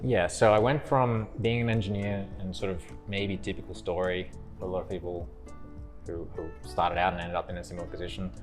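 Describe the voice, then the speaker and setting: A young man with an Australian accent and a low voice, chatting as an interview guest. He rambles easily, restarting his sentences, while soft music plays in the background. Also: brisk. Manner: relaxed, rambling with frequent restarts and laughs. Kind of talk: casual interview chat.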